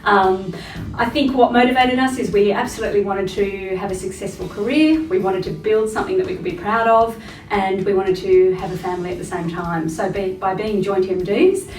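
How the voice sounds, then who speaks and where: A woman talks in a measured, even way with a few ums, at an ordinary pitch. She sits well back from the mic. The room is roomy and reverberant. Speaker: joint managing director. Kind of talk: corporate interview testimonial. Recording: roomy, from a distance.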